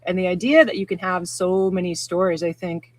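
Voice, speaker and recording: A podcast co-host joins the film chat in a relaxed, musing way, thinking out loud about mysteries in movies. Their voice is at an ordinary middle pitch. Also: fairly quick. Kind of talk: casual film discussion.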